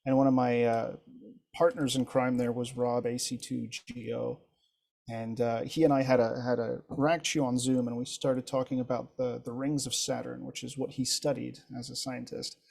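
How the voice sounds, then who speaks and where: A man whose first language is French, leading an instructor training session in a relaxed, encouraging way over a video call. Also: mid-range voice, normal speed, gravelly. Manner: relaxed, encouraging, thinks aloud with ums. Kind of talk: casual group video-call discussion.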